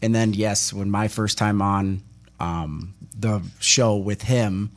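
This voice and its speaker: A man's voice: lowish voice, steady tone, slow. A man chatting casually on a local radio talk show, rambling with many fillers and restarts as he reminisces.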